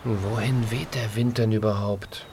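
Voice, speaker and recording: A man's voice: fairly low pitch, fairly even intonation, unhurried, noisy background. A man playing an eager, naive young piglet in a children's radio play, asking things with hesitant, childlike wonder. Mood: pondering, hopeful. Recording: studio radio play with music underneath.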